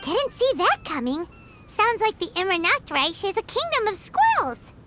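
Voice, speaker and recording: An actress voicing a tiny, perky, cheeky fairy girl in a video game, with a squeaky childlike voice. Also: sing-song, normal speed, pushed and bright, faint music behind, exaggerated voice. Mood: surprised. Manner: refers to self in third person. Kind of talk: video game character acting.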